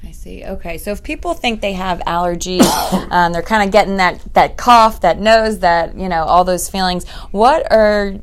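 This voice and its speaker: A slightly hoarse woman interviewing a doctor in a friendly, chatty way. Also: fairly low pitch, moderate pace, hardly any pauses. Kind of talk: interview questions.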